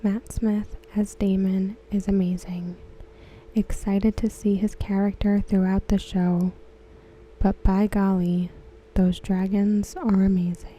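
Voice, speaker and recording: A woman softly reading aloud for ASMR in a breathy near-whisper, gentle and unhurried. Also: mid-range voice, level tone, rough recording. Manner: hushed, gentle, unhurried delivery. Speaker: ASMR creator. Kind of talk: soft-spoken ASMR read-aloud of written comments.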